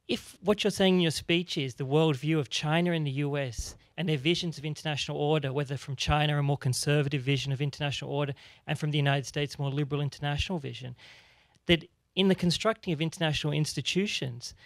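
A young man with an Australian accent asks a rambling question, stopping often on "um" and "like". His voice sits fairly high. The sound is dry, with little room echo. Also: creaky. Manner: hesitant, rambling with fillers. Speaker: audience member asking a question. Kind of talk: question from the audience.

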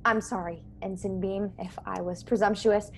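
A young woman playing an earnest, courteous starship officer in a radio play, measured, polite and gently persuasive. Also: medium pitch, fairly even intonation, natural voice. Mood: polite, wistful. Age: young adult. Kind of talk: radio play acting over video call. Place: small bedroom, indoors. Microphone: earbuds on a video call. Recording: clear background noise on video call.

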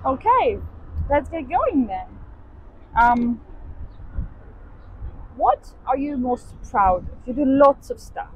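Young woman host, slightly high voice, friendly, faint outdoor noise. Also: very animated, highs cut off. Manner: friendly, quick to agree and laugh. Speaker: host and interviewer. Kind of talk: casual walking interview. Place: city campus walkway outdoors, trees.

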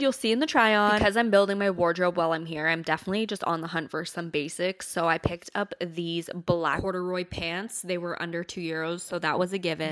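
A woman's voice: lowish voice, a bit bright. A young woman in her twenties talking through a casual vlog, upbeat and chatty with plenty of filler words. Her voice sounds slightly congested, as if she is getting over a cold. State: a little congested. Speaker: vlogger. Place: apartment room, indoors.

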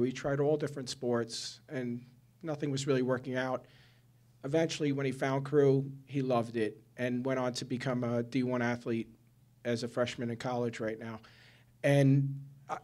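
Middle-aged dad at the comment mic, earnest, lots of ums, mid voice, big meeting room. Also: male voice, mid-range voice, darkish tone. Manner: earnest, halting, many fillers. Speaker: parent giving public comment. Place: large public meeting room.

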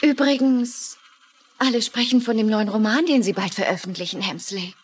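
A woman's voice: on the high side, normal speed, a little hiss or hum, close to an everyday voice. An actress playing a courteous, admiring young woman organizing an awards event, in a German-dubbed mystery drama. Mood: admiring, polite. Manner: courteous, flattering.

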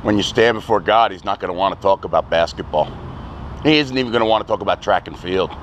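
Brief: man street preacher, mid-pitched voice, slightly muffled sound, faint traffic behind, stern and scornful. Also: quick pace. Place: outdoors on a sidewalk by a parking lot.

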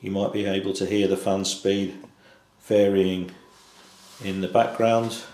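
A man with a lowish voice talks through a technical demo off the cuff. His delivery is slow, halting and matter-of-fact, with little melody. The small hard-walled room adds clear echo. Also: little pitch movement, a little mellow, slight background noise. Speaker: electronics hobbyist presenting a project. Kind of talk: unscripted technical demonstration narration. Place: indoors in a small tiled kitchen-like room. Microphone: camcorder microphone behind the camera.

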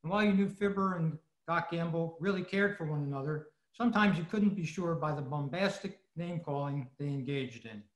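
A man's voice: on the high side, a little fast, hardly any pauses. Man narrating over an echoey video call. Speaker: club presenter. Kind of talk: scripted narration. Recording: roomy, dull video-call audio.

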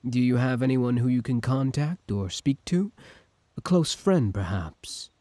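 A man's voice: medium pitch, big pitch swings, measured pace, warm tone, dry recording. A young man playing an obsessive, scheming villain who feigns kindness, in an intimate, theatrical audio roleplay. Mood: awkward, sympathetic. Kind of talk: ASMR audio roleplay, intimate dramatic acting.

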